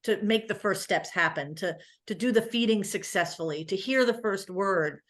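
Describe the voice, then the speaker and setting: Middle aged lady therapist talking off the cuff at a conference, medium pitch, animated and emphatic. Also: moderate pace. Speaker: guest speaker, physical therapist. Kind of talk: conversational conference question-and-answer.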